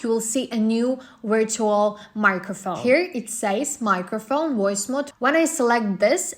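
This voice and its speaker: Woman narrating step-by-step instructions. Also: fairly high pitch. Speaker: software tutorial narrator.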